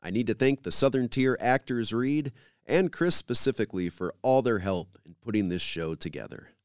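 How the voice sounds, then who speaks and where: A man hosting a podcast, reading scripted links in a measured, announcer-like way. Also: lowish voice, smooth, no echo, clean studio sound. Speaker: podcast host. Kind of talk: scripted podcast host narration.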